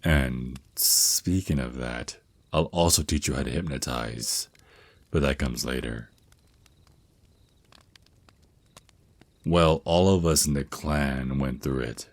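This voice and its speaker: Man acting as very deep-voiced vampire naga boyfriend. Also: dry recording. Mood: gentle, encouraging. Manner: slow, soothing, affectionate. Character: male vampire naga boyfriend, centuries old, tender, reassuring mentor. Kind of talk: ASMR boyfriend roleplay.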